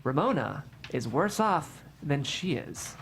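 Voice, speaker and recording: A woman's voice: low voice, very animated, slow pace. A woman narrating stage directions from a screenplay at a table read. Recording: clear background hum and noise.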